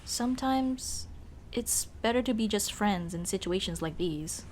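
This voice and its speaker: A woman playing a young tomboy childhood friend in an ASMR roleplay, speaking in casual boyish slang with hesitant pauses. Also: medium pitch, animated, darkish tone, a little hiss or hum, close to an everyday voice. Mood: flustered, hesitant. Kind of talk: ASMR roleplay, intimate one-sided dialogue.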